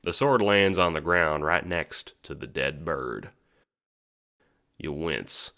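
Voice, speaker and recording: A man's voice: very deep voice, lively intonation, slow pace, vocal fry, bright, forceful tone. A man narrating a second-person audio drama in a sardonic, sweary manner.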